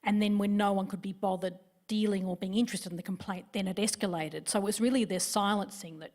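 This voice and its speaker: A woman moderating a live literary panel, talking quickly with frequent restarts. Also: medium pitch, fairly even intonation. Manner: quick, stumbling restarts, bright sign-off.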